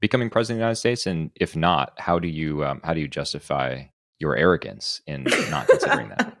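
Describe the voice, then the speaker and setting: Man, low voice, fast talker, casual podcast host, bantering. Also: lively intonation, a bit bright, dry recording, studio quality.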